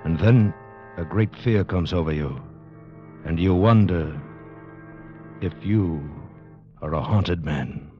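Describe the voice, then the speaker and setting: A very low, ominous narrator of a haunted tale. He builds dread in old radio suspense style, over loud music on a dull recording. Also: slow pace, pauses often. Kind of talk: 1950s radio suspense teaser.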